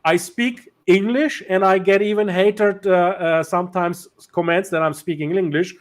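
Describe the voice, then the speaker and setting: A man's voice: high voice, fairly even intonation, moderate pace. A male host whose first language is German or Turkish, speaking English in a casual interview over a video call, with many fillers and restarts.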